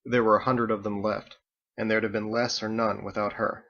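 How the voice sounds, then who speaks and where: A man's voice: fairly low pitch, level tone, moderate pace, lo-fi sound. A man who is an author reads his own fiction as a scripted audiobook narration. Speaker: author reading own book.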